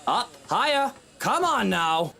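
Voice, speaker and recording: A man's voice: sits high, big pitch swings, slow pace, creaky, bright, forceful tone, heavily acted. An actor playing a pompous, fussy rich husband in a visual novel game, dramatic and snobbish as he orders people around. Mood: bossy, snobbish.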